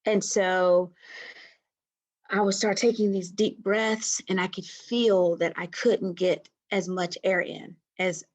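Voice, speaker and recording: A middle-aged woman speaking softly as a guest in a casual interview over a video call. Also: on the low side, steady tone, slow pace. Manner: soft-spoken here, though loud by nature. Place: small room indoors. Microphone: webcam on a video call.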